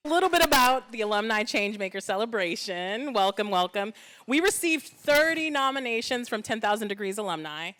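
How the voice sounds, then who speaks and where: A woman's voice: fairly high pitch, expressive, a little fast, fairly bright tone. A woman emceeing a gala on stage with a handheld microphone, chatty and joking with the audience. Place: event hall stage.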